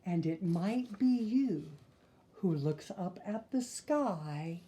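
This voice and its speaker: An older woman reads a storybook aloud to young children in a soft-toned, mellow voice. She takes her time over each phrase at a very slow pace, slipping in gentle asides to the listeners. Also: lowish voice, animated, slight background noise. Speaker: storyteller reading a children's picture book aloud.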